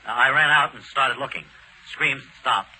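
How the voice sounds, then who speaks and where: A man's voice: medium pitch, moderate pace, bright, forceful tone. An actor playing an earnest, respectful American policeman giving a dutiful report in 1940s radio drama style. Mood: dutiful, grave. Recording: noisy 1940s radio recording.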